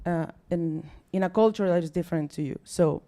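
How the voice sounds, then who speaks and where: Young Mexican woman, Spanish accent, English second language; tired, chatty, apologetic, mellow voice. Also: on the low side, fairly quick. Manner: chatty and apologetic, with many fillers and restarts. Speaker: guest speaker at a science talk night. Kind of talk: casual, self-deprecating live talk. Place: bar or event room with a live audience.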